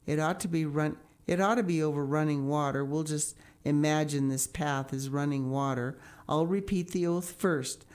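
A woman's voice: sits low, moderate pace, few pauses, darkish tone, natural voice. A woman reading aloud and voicing an eager, dreamy young girl who gushes and pleads breathlessly. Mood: solemn. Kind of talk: audiobook character voice.

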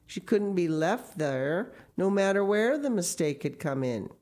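A woman's voice: lowish voice, very animated, unhurried, darkish tone, pitched above the performer's own voice. A woman voicing a shy older farmer who explains himself hesitantly and apologetically. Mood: apologetic.

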